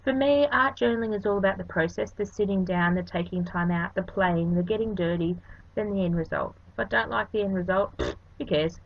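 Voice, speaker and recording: A middle-aged Australian woman with a hoarse, sniffly voice, chatting casually and self-deprecatingly as she talks through a craft project. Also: mid-range voice, a little fast. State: hoarse, sniffly from hay fever. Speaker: art channel host. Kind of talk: casual craft tutorial voice-over. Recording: dull lo-fi home recording.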